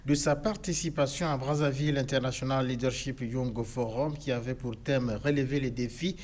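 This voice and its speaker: Male news anchor, studio interview; formal and measured, middle pitch, light hiss behind. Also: a little mellow. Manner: formal, measured, occasional stammering restarts.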